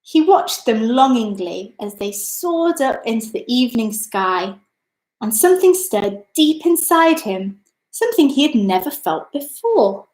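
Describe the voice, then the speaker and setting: Female children's storyteller, expressive and deliberate, higher register, very animated delivery. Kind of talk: scripted children's story narration. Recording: echoey, roomy sound.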